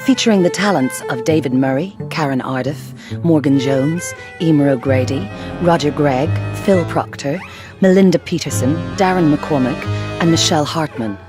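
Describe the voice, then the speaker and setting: A woman's voice: sits low, moderate pace, over music. A woman reading scripted closing credits as the announcer of an audio drama.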